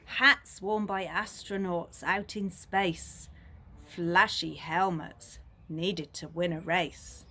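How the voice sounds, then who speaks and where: A woman's voice: on the low side, steady tone, slow, pushed and bright. A woman reading a rhyming picture book aloud for children. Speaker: storybook reader. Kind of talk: scripted children's rhyme read aloud.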